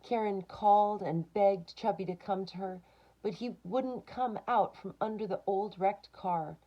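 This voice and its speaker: A woman's voice: medium pitch, a little slow, dull audio. A woman reading a picture book aloud to children, expressively, with short asides of her own.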